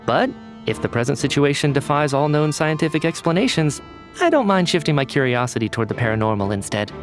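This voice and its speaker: Man voicing a lively, brainy young scientist. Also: a little high, quick pace, natural voice. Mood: intrigued. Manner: analytical, articulate. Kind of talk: video game voice acting. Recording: background music.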